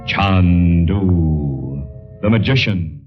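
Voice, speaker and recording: A man's voice: sits low, very slow. A man narrating as announcer of a vintage radio adventure serial, in a theatrical style. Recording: muffled vintage radio, loud music behind.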